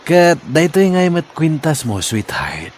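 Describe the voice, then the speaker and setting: A man's voice: fairly high pitch, wildly swooping pitch, normal speed. A man playing a sly, playful, tipsy boyfriend who wheedles and sweet-talks in a comedy audio drama. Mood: wheedling, tipsy. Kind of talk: comedy radio drama acting.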